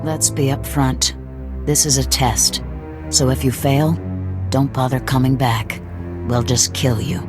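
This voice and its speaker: A woman's voice: a bass voice, level tone, measured pace, over loud music. An actress playing a tough, suspicious female rebel cell commander in a video game, blunt and threatening. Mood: blunt, menacing. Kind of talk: scripted video game dialogue.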